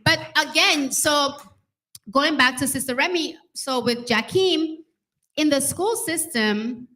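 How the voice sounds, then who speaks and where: A woman speaking informally to an audience as a children's author, chatty and expressive, often checking in with her listeners. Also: high voice, takes it slowly, rough recording. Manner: chatty, expressive, addresses listeners with "right?" and "okay?". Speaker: special education teacher. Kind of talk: informal talk and read-aloud to an audience.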